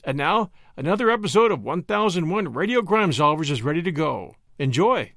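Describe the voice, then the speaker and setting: A man's voice: on the high side, sing-song, a little fast, a bit bright, slight background noise. A man hosting a podcast, reading a scripted introduction. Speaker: podcast host.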